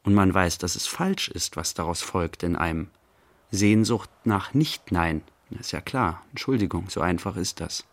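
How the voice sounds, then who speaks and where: An actor playing a reflective, weary man in a radio play monologue, speaking haltingly in short, musing phrases with a creaky voice. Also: sits low. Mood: brooding.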